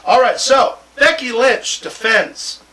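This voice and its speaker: High-voiced man, very bright forceful tone, boisterous wrestling show host, jokey, sweary, casual banter. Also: animated, takes it slowly, recorded from a distance, poor audio. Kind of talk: casual banter and sports predictions.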